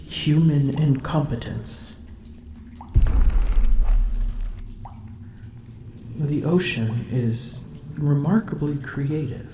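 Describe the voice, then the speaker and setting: Woman playing a tired vain she-dragon, gravelly whispery voice, very slow drawl, echoey room with loud dripping behind. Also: very deep voice, background noise, heavily acted. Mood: weary. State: weary. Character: drowsy, aloof female dragon, vain and teasing. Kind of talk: ASMR audio roleplay. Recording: roomy echo with loud ambient drips.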